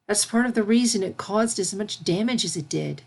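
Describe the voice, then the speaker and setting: A woman voicing a patient, gentle royal pony princess who teaches and consoles her pupil in a storybook reading. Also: medium pitch, animated, gravelly, husky. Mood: consoling. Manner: measured, instructive, consoling.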